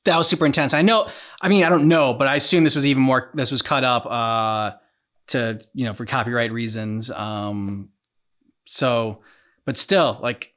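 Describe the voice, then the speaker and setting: A man's voice: mid-range voice, steady tone, normal speed, fairly bright tone. A man giving casual reaction commentary as a channel host.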